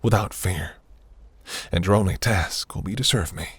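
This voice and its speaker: A man playing a seductive, imperious demon prince in a fantasy audio roleplay, speaking in a silky, commanding, breathy murmur. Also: moderate pace, fairly bright tone, dry recording, full character voice. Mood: tender, possessive. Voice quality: low, gravelly, breathy.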